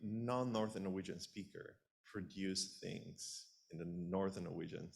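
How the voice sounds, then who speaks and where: A man in the audience asking a follow-up question at a conference, halting and full of restarts. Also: deep voice, gravelly.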